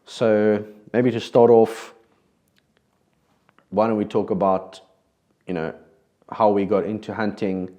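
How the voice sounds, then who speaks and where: A man's voice: on the low side, level tone, slow, long pauses, mellow. A man from South Africa with a South African accent, hosting a podcast in a casual, conversational way with frequent restarts. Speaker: podcast host.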